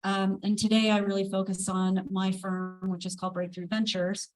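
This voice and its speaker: A woman speaking as an investor on a live panel, explaining her points in a measured, deliberate way. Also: mid-range voice, flat delivery, rough recording. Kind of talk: panel discussion. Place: event space with a live audience.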